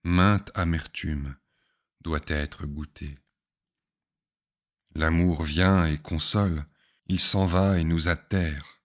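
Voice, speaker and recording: A man reading spiritual poetry aloud in a solemn, measured recitation, phrase by phrase with deliberate pauses. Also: sits low, fairly even intonation, fairly bright tone. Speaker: reader of spiritual poems.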